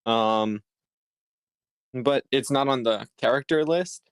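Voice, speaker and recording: A man with a medium-pitched voice talks casually as a participant in a group meeting. His delivery is halting and hesitant. The recording is dry and close, with little room sound. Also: clean tone, no room sound. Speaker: participant in a script table read.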